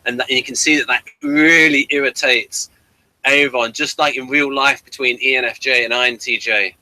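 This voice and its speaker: A man's voice: medium pitch, fairly even intonation, moderate pace, pushed and bright, no room sound, rough recording. A man hosting a casual review discussion of a TV series, emphatic and given to repeating his words.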